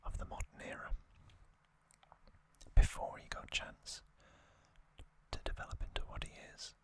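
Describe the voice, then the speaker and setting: An ASMR host whispering trivia narration slowly and deliberately in short phrases, with a voice slightly strained from singing. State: voice slightly off after singing hard.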